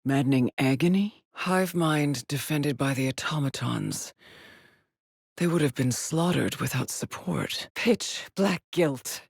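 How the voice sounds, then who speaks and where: An actress playing a haunted, driven female astronaut in a video game, brooding and introspective in measured solitary log monologues. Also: low-pitched, expressive, vocal fry, slightly rough, dry recording, studio quality, full character voice. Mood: uneasy wonder. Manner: slow, measured, brooding delivery with pauses.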